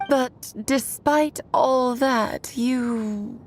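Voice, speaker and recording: A woman's voice: sits high, very slow, soft background music. A woman playing a shrewd, theatrical friend in a video game, with an arch, musing manner. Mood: cautious, musing. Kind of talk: scripted video game voice acting.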